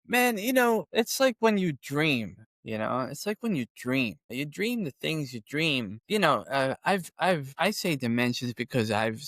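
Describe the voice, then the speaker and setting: A man's voice: a little high, big pitch swings, a little slow, few pauses, fairly bright tone, no room sound, clean studio sound. A man, telling rambling stories as a podcast guest and often saying "you know.". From Philadelphia, USA. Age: 50s. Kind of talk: casual conversational storytelling.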